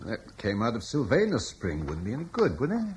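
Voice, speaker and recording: An actor playing a humble, devoted soldier husband in a radio drama, plain-spoken and questioning in an understated way. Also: male voice, fairly low pitch, huge pitch swings, fairly quick, slightly rough, exaggerated voice. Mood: unsure, questioning.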